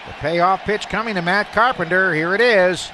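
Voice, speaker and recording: Bright, forceful male baseball play-by-play voice. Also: sits high, moderate pace. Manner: descriptive, excitable on big plays. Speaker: play-by-play announcer. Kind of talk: live baseball radio commentary. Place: stadium broadcast booth. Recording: faint stadium noise behind a broadcast.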